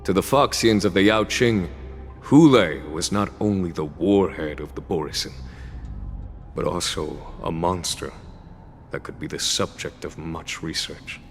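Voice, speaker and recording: An actor playing a composed, wise military general who explains matters in a measured, reflective way, in a game cutscene. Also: male voice, low voice. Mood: calm, explaining. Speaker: army general. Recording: reverb with background music.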